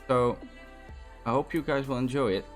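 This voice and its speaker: A man's voice: medium pitch, moderate pace, faint music behind. A young man from the Netherlands with a Dutch accent, talking through a Minecraft build in a casual, enthusiastic way, with many fillers and restarts. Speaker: Minecraft building tutorial host. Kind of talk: casual gameplay commentary.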